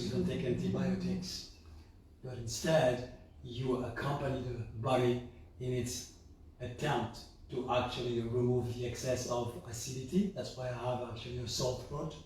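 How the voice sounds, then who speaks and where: A man hosting a health video, explaining things to viewers in a raspy voice, hoarse from a sore throat, with halting, self-correcting phrasing. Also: mid-range voice, a little mellow, a little hiss or hum. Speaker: host of a health and juicing channel. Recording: very echoey room, distant microphone.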